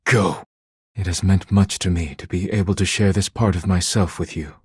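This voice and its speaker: Super husky deep game character, solemn touchy poet dish, formal and grave, dry close sound. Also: male voice, steady tone, a little mellow, no echo. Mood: wounded, cold. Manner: formal, deliberate, grave poet's delivery. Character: solemn, proud, thin-skinned poetic male dish, earnest and sentimental. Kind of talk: video game character acting.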